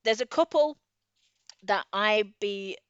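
A woman's voice: mid-range voice, slow pace, clean tone, no room sound, muffled recording. A middle-aged woman chatting casually about books as a podcast co-host, enthusiastic and self-deprecating. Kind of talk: casual book chat.

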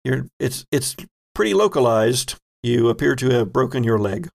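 A man narrating a tabletop role-playing game as game master, telling it suspensefully with deliberate pauses. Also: mid-range voice, lively intonation, vocal fry.

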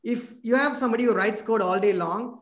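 This engineer wanders through thoughts out loud, full of fillers, restarts and tag questions, in a relaxed video-call chat. The voice sits at a middle pitch. The sound bounces around an echoey room. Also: a little fast. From abroad, now living near Washington DC. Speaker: software engineer asking questions. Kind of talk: casual video-call conversation.

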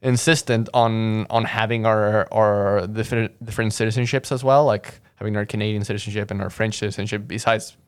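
A man's voice: lowish voice, steady tone, brisk, few pauses, clean tone. A young man from Mexico, a guest in a casual interview, telling his story in a rambling way full of fillers and restarts. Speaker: guest, audio engineer.

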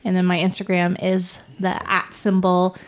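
A woman's voice: fairly low pitch, monotone, normal speed. A woman from the United States, a podcast guest and long-distance hiker, talking in a chatty way and laughing easily. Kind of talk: casual interview talk.

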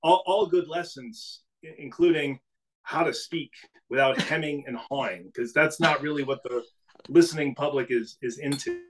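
A middle-aged man from New England, a business CEO talking casually as a podcast guest, telling stories in a relaxed way with frequent restarts. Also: fairly high pitch, moderate pace, poor audio. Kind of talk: casual interview conversation.

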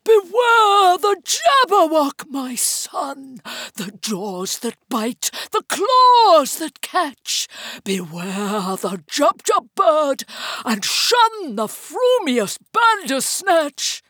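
A woman's voice: sits high, sing-song, drawn-out words, a bit bright, dry recording, clean studio sound, pitched above the performer's own voice, heavily acted. A woman playing a fervent father in a storybook poem, declamatory and exclaiming to his son. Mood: urgent warning. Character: fervent old-fashioned father warning and praising his son.

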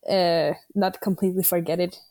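A young woman from Greenland, a native Danish speaker, answering interview questions in English with many pauses and restarts. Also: fairly low pitch, fairly even intonation, warm tone, dry recording. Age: young adult. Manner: hesitant, many fillers and restarts. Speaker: guest athlete. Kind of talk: casual interview.

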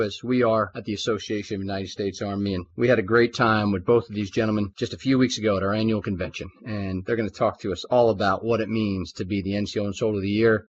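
A man's voice: fairly low pitch, a little hiss or hum. A man hosting a formal interview podcast, upbeat and full of praise for his guests. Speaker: podcast host. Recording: dull lo-fi sound.

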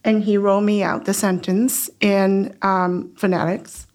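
A woman in her forties from Taiwan, a native Mandarin speaker, talking unhurriedly and thoughtfully as a podcast guest, with soft hesitations. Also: mid-range voice, level tone, a little slow. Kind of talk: casual interview chat.

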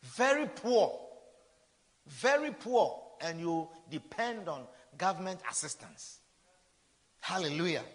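A man in his fifties preaching with a high voice that leaps up and down in pitch. He repeats his points, peppers them with hallelujahs, and moves from loud proclamations to hushed asides. His voice rings with the long reverb of a big church hall. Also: very animated, slow, long pauses. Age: 50s. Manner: preaching with repeated refrains, frequent hallelujahs, swinging from loud to soft. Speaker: pastor. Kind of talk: sermon.

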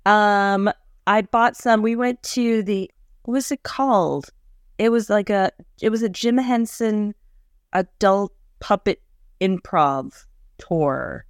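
A woman's voice: medium pitch, takes it slowly, no room sound. A woman co-hosting a podcast in casual chat, playful and laughing often, recorded close and dry. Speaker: podcast co-host.

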